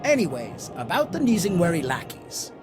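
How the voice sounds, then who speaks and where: A man telling a holiday story in character, in a theatrical, deliberate way. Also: sits high, huge pitch swings, music in the background. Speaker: storyteller in a roleplay story event.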